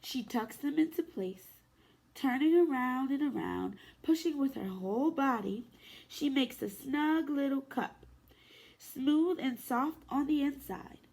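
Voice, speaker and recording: A woman preschool teacher reading a picture book aloud to young children in an expressive, sing-song way. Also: high-pitched, unhurried, gravelly, rough recording. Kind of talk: children's story time read-aloud.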